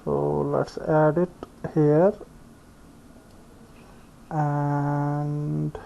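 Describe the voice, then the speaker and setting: A man giving an unscripted step-by-step software tutorial, speaking haltingly and thinking aloud as he works. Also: fairly high pitch, flat delivery, gravelly. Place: indoors, screen-recording voice-over. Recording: dull lo-fi voice recording.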